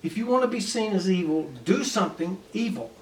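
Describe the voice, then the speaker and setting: A man's voice: high voice, animated, a little fast. A man playing a sleazy, irritable henchman in his late fifties, brash and grumbling, in a comedy script read. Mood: exasperated. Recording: echoey room, distant mic.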